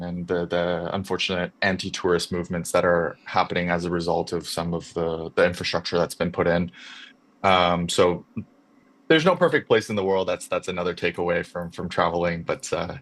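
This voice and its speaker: A man's voice: sits low, fairly bright tone. A young adult man from Canada, a podcast guest talking about his move abroad in a thoughtful, restarting way. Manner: reflective, with frequent restarts. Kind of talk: casual conversation.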